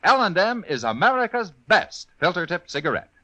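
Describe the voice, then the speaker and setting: A man's voice: high-pitched, very animated, brisk, pushed and bright. A man delivering a punchy, emphatic 1950s radio commercial as its announcer. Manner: punchy, emphatic, singsong salesmanship. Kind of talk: 1950s radio advertising pitch.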